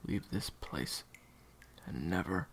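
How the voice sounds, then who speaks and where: A man's voice: sits low, slow, slight background noise. A man playing a weary, conflicted assassin, cold but hurting, in an audio roleplay drama. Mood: anguished farewell.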